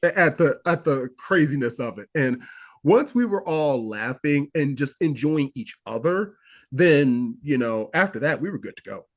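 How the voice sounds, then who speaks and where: A man's voice: on the high side, animated, normal speed. Rambling enthusiastic male actor. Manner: enthusiastic, rambling with restarts and fillers. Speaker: actor guest. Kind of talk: interview recollection.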